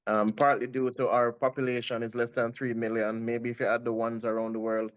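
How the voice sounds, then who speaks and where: Measured Jamaican man on a panel. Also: mid-range voice, flat delivery, highs cut off. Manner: reasoned, calls himself a numbers guy. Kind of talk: casual panel discussion.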